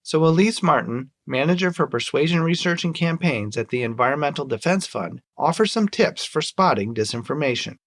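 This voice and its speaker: A man's voice: fairly high pitch, expressive, fairly quick, hardly any pauses. A man delivering scripted narration as the host of a short radio segment.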